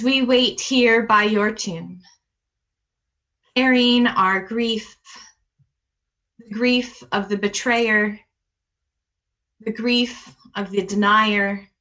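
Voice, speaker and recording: Bright-voiced woman, slow solemn benediction. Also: a little high, fairly even intonation, muffled recording. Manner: measured, with repeated cadenced phrases. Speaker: worship leader. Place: online video call.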